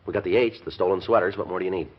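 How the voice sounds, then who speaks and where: A man playing a brisk, matter-of-fact police detective in a 1950s radio drama. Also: sits low. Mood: defensive. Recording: noisy, dull old radio recording.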